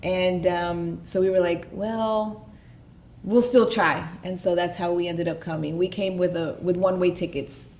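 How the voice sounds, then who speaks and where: A woman from Puerto Rico whose first language is Spanish, speaking as a guest in a relaxed interview with many fillers. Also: lowish voice, measured pace. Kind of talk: casual interview talk.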